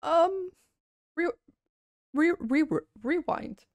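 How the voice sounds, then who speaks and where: A woman streamer giving casual, excited reaction commentary, gushing and swearing freely. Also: sits high, slow pace. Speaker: vtuber streamer. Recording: music behind the voice. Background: game trailer music.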